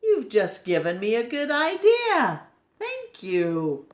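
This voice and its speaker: A woman's voice: a little high, huge pitch swings, slow pace, roomy sound, voice pushed up, exaggerated voice. A mischievous, good-humored male elephant from a picture book, as a woman reader puts him on. He speaks cheerfully and theatrically, taking his time over each playful phrase. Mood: delighted.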